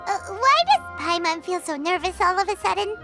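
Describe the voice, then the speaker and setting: A woman voicing a tiny, childlike, excitable and easily worried fairy companion in a video game cutscene. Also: very high voice, moderate pace, vocal fry, music in the background, heavily acted. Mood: nervous. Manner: childlike, exclamatory, speaks of self in third person.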